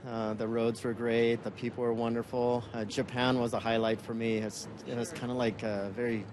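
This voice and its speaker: A man's voice: mid-range voice, level tone, moderate pace. Easygoing middle-aged man, interview guest, outdoor traffic noise. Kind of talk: casual interview answers.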